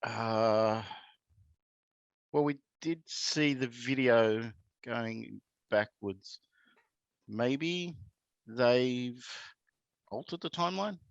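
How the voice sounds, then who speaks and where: A man's voice: mid-range voice, extremely slow, gravelly, long pauses, clear, resonant tone, no room sound. A man playing a tabletop roleplaying game, explaining things in character with lots of hesitation. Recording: dry, muffled mic.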